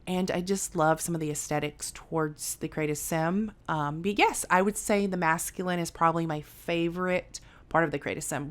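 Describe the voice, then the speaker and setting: A woman giving a casual, unscripted video game review, rambling with lots of filler words and repeated phrases. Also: low-pitched. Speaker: gaming video creator, reviewer. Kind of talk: casual unscripted review commentary over gameplay.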